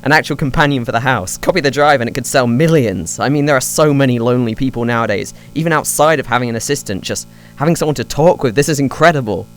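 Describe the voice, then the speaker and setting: A man's voice: medium pitch, fast, hardly any pauses, a bit bright, soft background music, close to an everyday voice. An actor playing an effusive, money-minded male tech boss in an audio drama, speaking in a businesslike way. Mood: impressed, greedy. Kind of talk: naturalistic audio drama acting.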